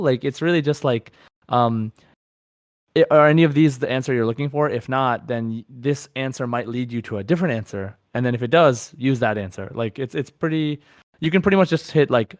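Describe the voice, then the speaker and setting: A man co-hosting a casual gaming podcast, talking in an excited, meandering way. His pitch sits in the middle for a male voice, and the recording is close and dry, with little room sound. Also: no echo. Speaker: podcast co-host.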